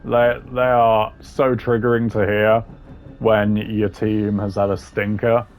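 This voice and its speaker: Male gaming commentator: lowish voice, casual, blunt, slangy, opinionated. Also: level tone, slow pace, soft background music. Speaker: gaming video creator. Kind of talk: casual opinionated commentary ranking game voice lines.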